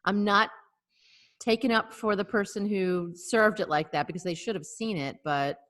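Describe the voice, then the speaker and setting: A woman co-hosting a local podcast over a video call, chatty and quick to laugh. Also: mid-range voice, a bit bright. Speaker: podcast co-host and café owner. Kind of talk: casual video-call chat.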